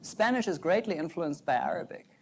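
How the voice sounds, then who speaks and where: A young adult man, a native German speaker, giving an earnest prepared talk in measured phrases. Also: on the high side, expressive, vocal fry, slight background noise. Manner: earnest, measured, pauses between short phrases. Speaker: doctoral researcher giving a talk.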